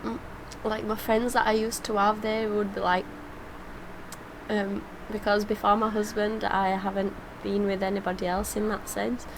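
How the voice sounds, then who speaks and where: A woman's voice: mid-range voice, level tone, moderate pace, noisy background. A woman talking casually and personally as a reaction vlogger, heartfelt and rambling, emotional. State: emotional, tearful.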